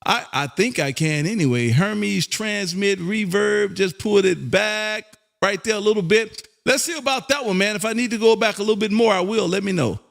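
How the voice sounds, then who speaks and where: High-pitched, exuberant American man. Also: normal speed, gravelly, a bit bright. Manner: folksy, exuberant, repeats phrases for emphasis. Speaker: amateur radio host and operator. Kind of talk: live radio contact chat.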